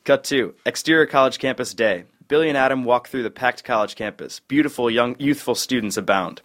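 A man's voice: mid-range voice, fairly quick, a bit bright. A man narrating stage directions at a comedy table read in an amused, matter-of-fact way.

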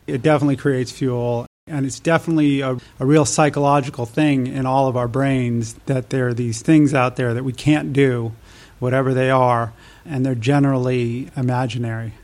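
A man's voice: medium pitch, steady tone, moderate pace, darkish tone. The level-toned American man you would hear as a podcast guest, recounting his life story conversationally with little rise or fall. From United States (New Mexico and Nevada).

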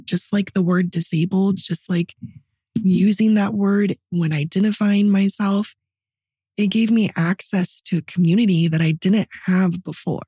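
A woman with a raspy voice, co-hosting a podcast and telling personal stories in a candid, reflective, conversational way. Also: medium pitch, fairly even intonation, measured pace, mellow, no room sound. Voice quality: raspy voice from partly paralyzed vocal cords. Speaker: podcast co-host. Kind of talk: personal storytelling in casual podcast chat.

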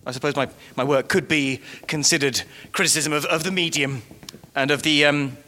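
A pompous failed writer, echoey hall. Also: male voice, a little high, fairly even intonation, normal speed, bright, forceful tone, slight background noise. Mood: flustered, wounded. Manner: pompous, stammering when flustered. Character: vain self-pitying failed writer from the past. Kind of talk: live audio comedy acting. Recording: echoey hall, live stage.

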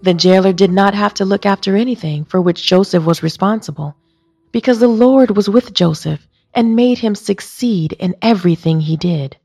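A woman narrating a Bible story as a scripted, expressive reading. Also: mid-range voice, normal speed, gravelly, slightly rough.

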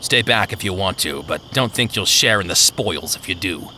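A man's voice: deep voice, animated, moderate pace, bright, forceful tone, slight background noise, voice pushed down, full character voice. A man voicing an impatient, brutish male robber who scoffs and brushes off worries. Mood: dismissive. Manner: scoffing, dismissive.

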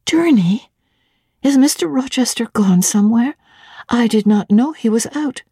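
An ardent young governess, played by a woman narrator in a classic novel reading. The pitch is lifted above the reader's usual voice, with a breathy, earnest and impatient manner. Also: animated, a little slow, mellow, dry recording, studio quality, heavily acted. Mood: anxious surprise. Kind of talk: dramatic audiobook character reading.

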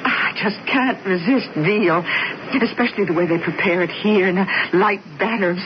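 Through a dull, hissy old radio broadcast comes a wealthy, gluttonous wife played by an actress. She is fluttery and fretful, hesitating and repeating herself in 1970s radio drama style, at an ordinary pitch. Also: lightly acted. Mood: coy, dithering. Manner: fluttery, hesitant, halting and repetitive.

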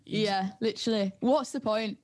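A woman's voice: mid-range voice, moderate pace. A young woman from North East England, chatting informally and agreeably as a podcast guest. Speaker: podcast guest, up-and-coming music producer. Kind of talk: casual chat.

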